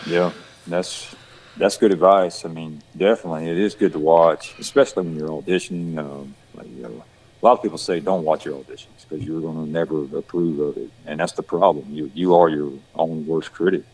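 A man's voice: extremely low pitch, fairly even intonation, fairly quick, a little mellow, slightly rough, low-quality recording. A man from Georgia with a Southern country accent, chatting casually as an actor guest, rambling with lots of "you know". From Georgia, USA. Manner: rambling, repeats words and restarts, says "you know" often. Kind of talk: casual podcast chat.

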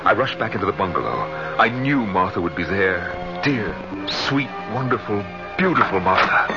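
An actor playing a middle-aged husband losing his grip on reality in a radio drama, halting and fretful. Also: medium pitch. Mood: anguished doubt. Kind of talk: 1970s radio drama acting with confessional first-person narration. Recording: dull 1970s radio recording with loud music.

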